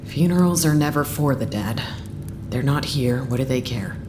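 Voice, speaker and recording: A woman's voice: very deep voice, lively intonation, moderate pace, noisy background. A woman acting a blunt, unsentimental research staffer in an audio drama, matter-of-fact and casually profane in a naturalistic style. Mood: resigned, consoling.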